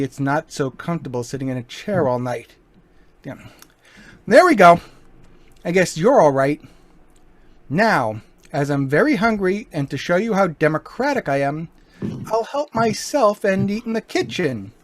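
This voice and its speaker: A man playing a suave, taunting gentleman burglar. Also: fairly high pitch, a little hiss or hum, lightly acted. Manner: smooth, mocking, turns menacing. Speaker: voice actor. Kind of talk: amateur old-time radio play.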